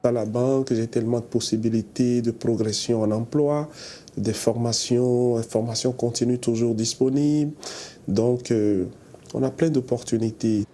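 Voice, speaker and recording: A man from Benin who works as a bank account manager, speaking in a steady, earnest tone in a testimonial interview. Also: mid-range voice, normal speed, a little hiss or hum.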